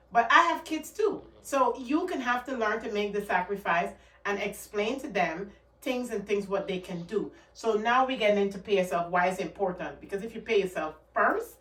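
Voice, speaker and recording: A woman's voice: medium pitch, a bit bright. Guyanese lady talking budgets to camera, earnest and pushy, a bit far from the mic in a roomy space. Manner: earnest, repeats points, emphatic. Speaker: channel host giving money advice. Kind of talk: casual talk-to-camera advice. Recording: echoey room, off the mic.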